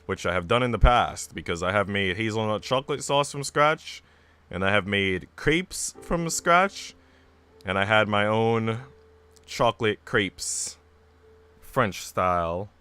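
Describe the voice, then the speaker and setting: A man livestreaming a visual novel, moving between casual, chatty musing and expressive story narration. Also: lowish voice, unhurried, smooth. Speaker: streamer reading a visual novel aloud. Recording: music playing behind the voice. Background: background music.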